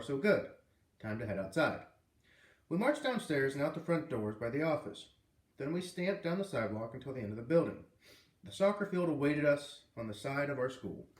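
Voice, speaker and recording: A man reading a children's novel aloud in an expressive, animated way, with casual asides. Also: medium pitch. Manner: animated, puts on character voices. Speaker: read-aloud host. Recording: roomy, distant microphone.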